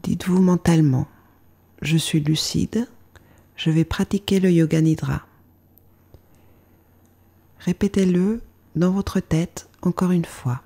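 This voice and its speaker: A woman guides a relaxation practice as a yoga instructor. Her voice sits a little low, with a dark, relaxed tone. She speaks very slowly and calmly, letting phrases fall away softly. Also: slightly rough. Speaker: yoga nidra meditation instructor. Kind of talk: guided meditation narration.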